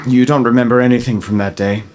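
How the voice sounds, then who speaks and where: A man at a screenplay table read playing a guilt-ridden father who speaks haltingly to his estranged grown daughter. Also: medium pitch, fairly even intonation, a crowd in the background, lightly acted. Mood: reluctant, confessional.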